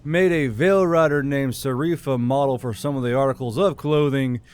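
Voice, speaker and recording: Mid-pitched man narrating a fantasy story. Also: normal speed, a little mellow, clear, resonant tone. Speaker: channel host and narrator. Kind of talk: scripted fantasy audiobook narration.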